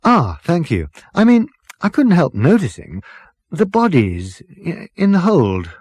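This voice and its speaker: A man's voice: a little high, wildly swooping pitch, a little slow, a little mellow, slightly rough, dry recording, studio quality, voice pushed up, exaggerated voice. A man voicing a courteous, tentative, puzzled male traveller in a comic science fiction audiobook. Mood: curious, tentative. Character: polite, curious male traveller, tactfully puzzled.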